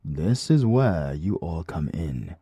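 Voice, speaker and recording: A man's voice: deep voice, big pitch swings, takes it slowly, mellow, husky, no room sound, dull audio, heavily acted. A man with a British accent voicing a firm, emphatic male associate of a crime boss. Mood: stern.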